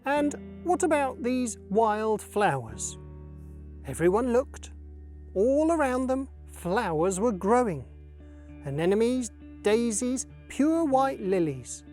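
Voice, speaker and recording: Female children's storyteller: very slow delivery, lively melodic range, light music bed. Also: on the high side. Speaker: story reader.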